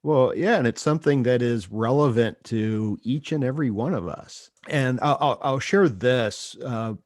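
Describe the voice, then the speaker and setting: A man's voice: medium pitch, moderate pace, darkish tone, dry recording, studio quality. A man hosting a podcast interview, agreeable and giving brief backchannel replies. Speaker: podcast host. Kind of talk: casual interview conversation.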